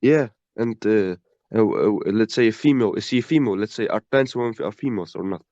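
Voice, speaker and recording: Blunt halting man. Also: on the low side, dark tone, no echo, dull audio. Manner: halting, repeats words, blunt and dismissive. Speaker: stranger in an online debate. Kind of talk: heated argumentative debate.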